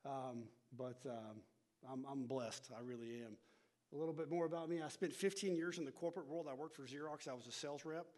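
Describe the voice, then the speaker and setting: A man's voice: mid-range voice, brisk, a little mellow. A middle-aged man with a North Texas accent, a church education pastor leading a workshop in a folksy, self-deprecating way, full of restarts and fillers. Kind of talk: casual talk to a church group, opening with prayer.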